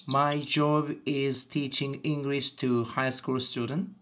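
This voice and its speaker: Middle-pitched Japanese man in his forties, halting learner English, podcast host on a video call. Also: little pitch movement, a bit bright. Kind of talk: casual English lesson conversation.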